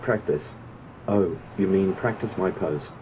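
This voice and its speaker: Very deep man as bored guard. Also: a little slow, background noise. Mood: bored, playful. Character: bored, chatty low-ranking male guard. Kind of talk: fan dub voice acting. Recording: loud music over the voice. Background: loud game music.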